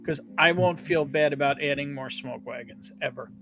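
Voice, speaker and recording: A man's voice: fairly high pitch, moderate pace, a bit bright. A man in his forties explaining things knowledgeably in a casual group chat on a livestream. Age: 40s.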